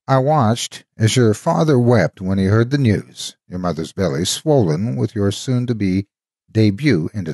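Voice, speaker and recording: A man narrating a dramatic story as a devoted, wistful ghostly guardian, an old soldier reminiscing tenderly in a husky, folksy way. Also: lowish voice, a little mellow, no echo, studio quality, full character voice. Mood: solemn, fond. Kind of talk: dramatic first-person horror storytime narration.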